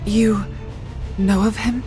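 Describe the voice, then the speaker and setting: A woman's voice: medium pitch, fairly even intonation, takes it slowly, warm tone, soft background music, natural voice. An actress playing a proud, wary witch in a video game, with formal, arch and sardonic phrasing. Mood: wary, curious. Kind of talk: scripted video game acting.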